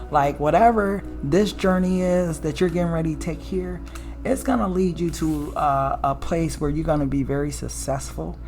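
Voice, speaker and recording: A woman with a raspy alto voice giving a casual, preachy spiritual tarot reading, often checking in with "okay?" and "right?". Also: darkish tone. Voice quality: low alto voice. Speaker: tarot reader.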